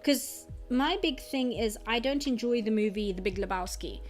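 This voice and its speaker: A woman's voice: fairly high pitch, normal speed. A young woman from South Africa with a South African accent, an Afrikaans speaker, chatting casually on stream while she draws and sometimes muttering to herself as she works. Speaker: artist streamer. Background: faint background music.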